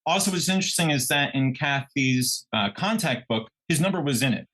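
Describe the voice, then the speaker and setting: A man's voice: mid-range voice, a little fast. A man co-hosting a true crime podcast, telling the story conversationally and acting out people's reactions as he goes. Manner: animated retelling, acts out quoted reactions.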